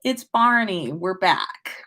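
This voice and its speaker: A woman's voice: medium pitch, animated, takes it slowly, bright, forceful tone. A woman hosting a livestream, reading stories and chatting casually in a playful manner. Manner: giggly, playful. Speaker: podcast host reading listener stories.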